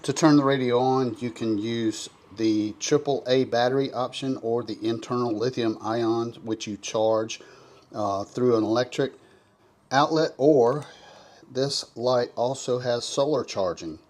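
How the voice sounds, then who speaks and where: A man's voice: mid-range voice, steady tone, measured pace, darkish tone. A man giving a casual, folksy and enthusiastic product review to camera.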